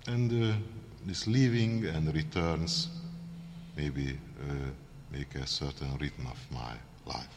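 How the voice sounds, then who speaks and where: A man with a heavy Hungarian accent, an author introducing his reading haltingly, with many pauses and fillers. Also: very deep voice, slight background noise. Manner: hesitant, many fillers, slow and careful. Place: church hall. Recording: reverberant church, faint ambience.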